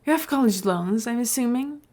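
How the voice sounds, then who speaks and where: Woman playing a smooth-talking, calculating villainous mayor. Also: a little high, animated, a little mellow. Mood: coaxing. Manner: persuasive, measured, businesslike.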